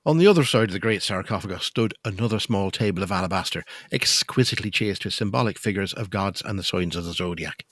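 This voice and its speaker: A man's voice: deep voice, quick pace, hardly any pauses, a bit bright, dry recording. A man narrating a gothic audio drama in a scripted storytelling style.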